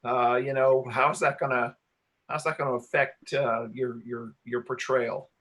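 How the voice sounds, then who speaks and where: A man's voice: medium pitch, little pitch movement, a little slow, fairly bright tone. A man answering questions as a radio play writer and director at a live Q&A, explaining things in a hesitant, rambling way. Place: talk before a live audience.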